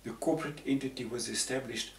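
A man's voice: medium pitch, fairly even intonation, fast. A man in his sixties from Zambia, explaining things in a rambling, conversational way and often repeating words. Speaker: panel guest. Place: room with patterned tapestry backdrop. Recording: roomy, distant, faint hiss.

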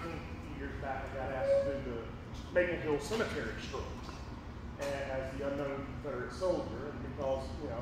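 Big-voiced man lecturing in echoey hall. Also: on the high side. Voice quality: big, carrying voice. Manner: folksy, rambling, lots of ums and restarts. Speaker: high school history teacher giving a public lecture. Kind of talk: casual public lecture. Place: large echoey auditorium with a screen. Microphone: distant room mic, speaker away from it. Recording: echoey hall from far off, room noise.

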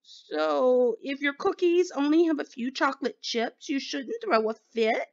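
A woman's voice: sits high, lively intonation, a little slow, gravelly, darkish tone, highs cut off, voice pushed up, exaggerated voice. A woman voicing a whiny, bossy little squirrel sister in a picture book read-aloud. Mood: probing.

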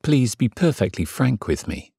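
An actor playing a lovesick, submissive gentleman in an audiobook drama, earnest and measured, with a husky voice. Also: mid-range voice, big pitch swings, no echo, clean studio sound, exaggerated voice. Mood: earnest, yielding. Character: Severin, lovesick, submissive gentleman narrating his passion. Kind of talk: dramatised first-person audiobook narration.

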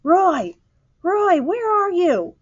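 Woman acting an exasperated, high-pitched storybook mom. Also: very animated, moderate pace, muffled recording, natural voice. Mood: frantic. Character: exasperated, dramatic, anxious mother of a boy.

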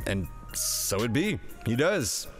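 A man's voice: mid-range voice, big pitch swings, takes it slowly. Sarcastic male movie-review host, faint music. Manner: slangy, sarcastic. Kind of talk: jokey, profane scripted film recap with banter.

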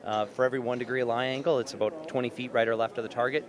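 A man's voice: medium pitch, steady tone, racing. A middle-aged man, giving spontaneous interview answers as an association president. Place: large indoor exhibition hall. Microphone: handheld reporter mic. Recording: busy convention crowd behind.

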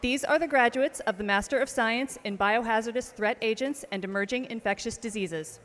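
A woman reading out graduate names at an outdoor commencement, slow and measured, over a PA system. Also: fairly high pitch, a bit bright, slight background noise. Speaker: name reader at commencement. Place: outdoor ceremony stage. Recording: long reverb of outdoor PA.

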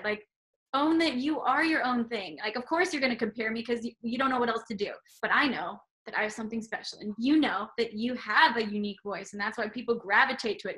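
A woman's voice: a little high, a bit bright, rough recording. A woman in her thirties hosting an interview over a video call, talking in an enthusiastic, emphatic way. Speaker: host. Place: small room indoors. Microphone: webcam on a video call.

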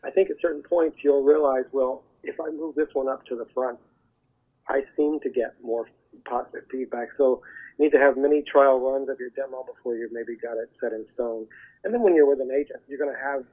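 A man giving explanatory advice as an interview guest over the telephone, haltingly searching for his words. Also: medium pitch, breathy edge. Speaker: guest, voiceover agent. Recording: muffled narrowband phone line.

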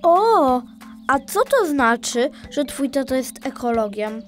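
An eager little cartoon elephant boy done by a woman, curious and childlike. The voice is high and bouncy with music underneath. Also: big pitch swings, slow, heavily acted. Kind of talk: children's audio play acting.

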